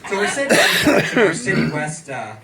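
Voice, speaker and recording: A fairly high-voiced American man delivers a deadpan, sardonic stand-up set. He sounds a bit off-mic in a roomy bar club. A live crowd is clearly audible behind him. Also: a little fast, far from the mic. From California. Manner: deadpan, sardonic, tags lines with "okay?". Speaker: stand-up comedian.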